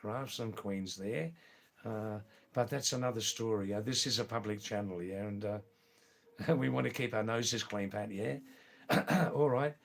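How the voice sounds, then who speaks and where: A man's voice: on the low side, measured pace, vocal fry. An older man with an Anglo-Indian accent chatting casually on a live stream, answering viewers' comments with lots of fillers and restarts. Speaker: livestream host.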